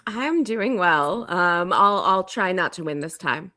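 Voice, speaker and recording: A woman's voice: lowish voice, animated, measured pace, sharp tone, no room sound, clean studio sound. A woman chatting casually as a guest on a podcast. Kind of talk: casual chat.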